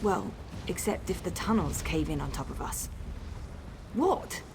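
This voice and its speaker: A woman's voice: on the low side, lively intonation, a little slow, noisy background. An actress playing a headstrong, sarcastic young noblewoman on the run in a video game, defiant and wry. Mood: wry, tense. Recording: game music behind the voice.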